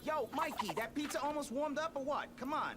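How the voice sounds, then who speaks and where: An actor playing a hot-headed teenage mutant turtle brawler in a cartoon game, slangy, gruff and sarcastic. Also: male voice, extremely high pitch, fairly quick, loud music behind the voice. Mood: impatient. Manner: slangy, tough-talking, sarcastic.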